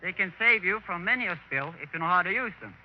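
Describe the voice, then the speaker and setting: A man's voice: high-pitched, animated, fast, dull audio, slight background noise. A man giving scripted straight-man answers as a guest in a 1930s radio interview, on an old radio recording. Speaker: guest, skier.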